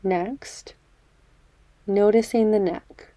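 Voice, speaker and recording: Slow, mellow woman guiding meditation. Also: mid-range voice, steady tone, dry recording. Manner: gentle, measured, soothing delivery with pauses. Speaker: meditation guide. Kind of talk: guided meditation, body scan.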